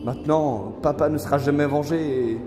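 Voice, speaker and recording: A man's voice: fairly high pitch, normal speed, close to an everyday voice. A man acting an agitated, bitter young condemned brother in an audio drama. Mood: anguished. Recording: echoing hall under loud music.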